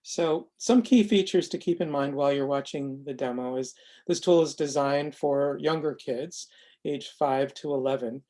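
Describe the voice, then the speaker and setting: A man giving an informal webinar presentation on a video call, explaining things in measured, halting phrases. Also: mid-range voice, warm tone. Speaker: presenter of a screening tool demo.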